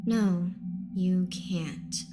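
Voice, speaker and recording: Man voicing a quiet, high, haunted young woman. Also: extremely slow. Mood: fearful, resigned. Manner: quiet, monotone, resigned. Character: sad, frightened young woman, eerily hypnotized suspect. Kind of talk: dramatic horror story dialogue. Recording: background music behind the voice.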